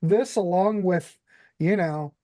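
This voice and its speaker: A man's voice: sits high, slow, warm tone, dry recording. A man hosting a casual music podcast, chatty and upbeat as he passes the talk to guests. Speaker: host.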